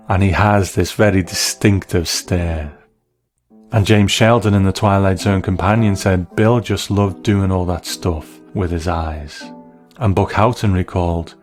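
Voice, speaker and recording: A man's voice: low voice, a little mellow. A man hosting a scripted retrospective, giving appreciative commentary on a classic show. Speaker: host. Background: music.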